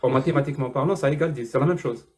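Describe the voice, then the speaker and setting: A man's voice: medium pitch, very fast, darkish tone, a little hiss or hum. Teasing man, French not his first language. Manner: teasing, pointed questions, laughs often. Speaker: debate host. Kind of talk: casual live debate.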